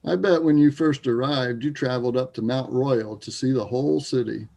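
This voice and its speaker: Male actor as grieving Canadian man, wry, gravelly, conversational stage reading. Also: medium pitch, moderate pace, warm tone. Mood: wry, teasing. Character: Tony, troubled grieving Canadian man, wry teasing Habs fan. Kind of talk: readers theater dialogue.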